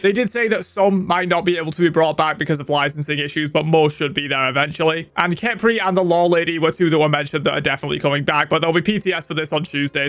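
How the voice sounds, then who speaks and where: A man's voice: on the high side, a bit bright, no echo. A man hosting a game news recap, narrating updates in a scripted style.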